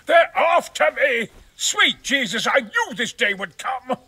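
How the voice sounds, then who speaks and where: Panicky high-voiced nobleman. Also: sing-song, slow pace, sharp tone, slight background noise, exaggerated voice. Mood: panicked. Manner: panicky, pleading, dramatic. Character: frightened, paranoid nobleman hiding from would-be assassins. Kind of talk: video game cutscene acting.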